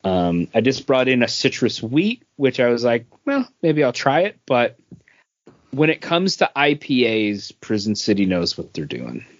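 A man's voice: mid-range voice, huge pitch swings, a little slow, no echo. A man hosting a podcast in a casual, conversational style. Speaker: podcast host. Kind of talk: casual chat. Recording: dull lo-fi, dry close sound.

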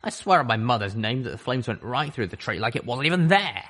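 A man's voice: medium pitch, very animated, quick pace, fairly bright tone, voice pushed up. A man voicing a tough, wry female griffin who smokes and talks in folksy slang.

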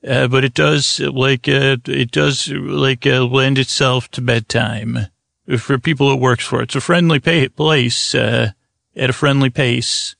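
Creaky-voiced man, meandering and halting. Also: medium pitch, fairly even intonation, dry recording, studio quality. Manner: meandering, halting, stuttering with unfinished thoughts; soothing, lulling, gentle. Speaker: podcast host. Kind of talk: rambling bedtime sleep podcast monologue.

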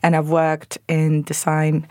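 A woman from Venezuela, an architect and designer, speaking in a thoughtful, measured way as a guest on a studio podcast. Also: low voice, completely flat delivery, darkish tone, dry recording. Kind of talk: podcast interview.

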